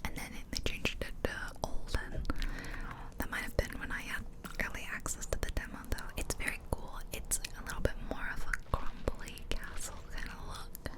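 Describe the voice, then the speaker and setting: A woman speaking in a soft, breathy ASMR whisper close to the mic from ear to ear, rambling casually and gently over game footage. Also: normal speed. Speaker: ASMR video creator. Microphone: close ear-to-ear stereo microphone.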